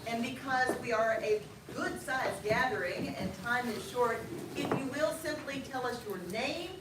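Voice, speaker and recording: A woman speaks to a gathered group in a measured, formal manner, her voice a little high. She is picked up from across an echoey meeting room, with steady noise underneath. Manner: measured, formal welcoming speech. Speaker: interfaith alliance director. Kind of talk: addressing a gathering. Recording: echoey room from a distance, noisy.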